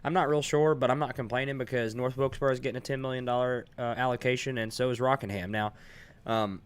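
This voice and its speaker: A congested man co-hosting a live podcast, explaining things in an easygoing, measured casual chat. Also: medium pitch, fairly even intonation, smooth. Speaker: podcast co-host.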